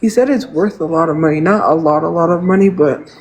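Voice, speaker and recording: Man, 30s, high-pitched, congested nose, rambling, venting, casual livestream talk. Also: quick pace, darkish tone. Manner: rambling, venting, repeats himself.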